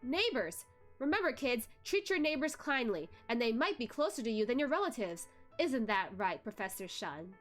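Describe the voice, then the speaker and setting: A woman voicing a cunning, playful male police chief in a storybook read-aloud, flattering and solicitous. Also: fairly high pitch, moderate pace, faint music behind. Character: cunning, smooth-talking, shameless young male police chief.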